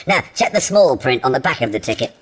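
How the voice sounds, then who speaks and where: An actress playing a brusque, weary alien desk official, dry and matter-of-fact, in a radio comedy drama. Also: female voice, very deep voice, very animated, fast, slightly rough, heavily acted. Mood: brisk, impatient.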